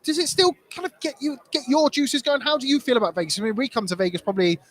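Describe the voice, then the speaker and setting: A man with a high voice hosts a live boxing show and reads out viewer questions. He rattles along quickly and loosely, full of fillers and small restarts. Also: fairly bright tone. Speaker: co-host of a boxing news livestream. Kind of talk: livestream hosting, reading viewer questions.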